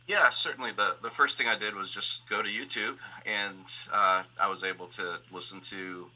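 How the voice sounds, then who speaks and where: Male sound designer, low-pitched but sharply bright, thoughtful and halting interviewee. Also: fairly even intonation, poor audio. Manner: hesitant, reflective, searching for words. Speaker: sound designer and audio engineer. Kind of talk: explaining creative process in a panel interview.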